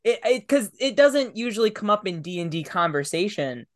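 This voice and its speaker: A man's voice: high-pitched, big pitch swings, normal speed. A man hosting a podcast in casual interview chat, speaking in a conversational, affirming way. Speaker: podcast host.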